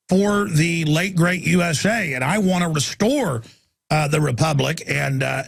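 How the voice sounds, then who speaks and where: A man's voice: on the high side, creaky, no echo. A man hosting a call-in radio talk show, talking emphatically in long rambling runs and often repeating his words.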